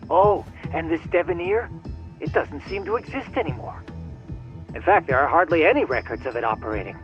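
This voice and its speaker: A man's voice: on the high side, lively intonation, brisk, soft background music, lightly acted. An actor playing a polite, geeky male tech sleuth in a video game, formal and wordy on a phone call. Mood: polite, keen. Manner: formal, wordy, slightly theatrical. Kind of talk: video game cutscene dialogue.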